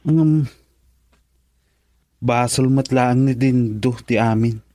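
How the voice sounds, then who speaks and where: A man's voice: medium pitch, slow, dark tone, no echo, clean studio sound, close to an everyday voice. A man acting in an Ilocano radio drama as a frank friend, speaking in an emphatic, questioning way. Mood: frank discussion.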